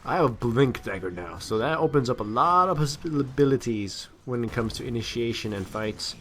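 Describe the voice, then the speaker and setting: A man's voice: medium pitch, lively intonation, moderate pace, a bit bright, slight background noise. A man giving casual, off-the-cuff gameplay commentary, rambling and correcting himself in crude, slangy language. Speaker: gaming video commentator.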